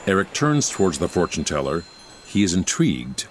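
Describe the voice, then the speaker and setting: Casting: male storyteller narrator, low register, expressive range, scripted audio theatre scene-setting. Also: normal speed, slight background noise. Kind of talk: scripted stage-direction narration of an audio musical. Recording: prominent music behind the voice.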